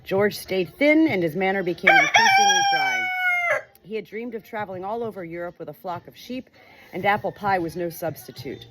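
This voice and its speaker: A woman reading a story aloud as an audiobook narrator, expressive and measured, with deliberate pauses. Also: medium pitch, very noisy. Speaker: audiobook reader.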